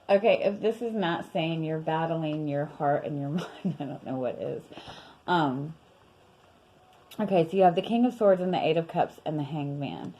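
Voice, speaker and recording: Tarot reader, woman, young adult, low voice going hoarse, rambling and casual, lots of fillers. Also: animated, unhurried, pauses often. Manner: chatty, rambling, many fillers and soft laughs. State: losing her voice. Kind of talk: casual tarot reading vlog.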